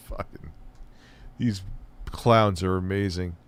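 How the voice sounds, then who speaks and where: A man's voice: sits low, steady tone, drawn-out words, smooth. A man hosting a livestream, giving crude, mocking commentary over a video and laughing often. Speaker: livestream host reacting to videos.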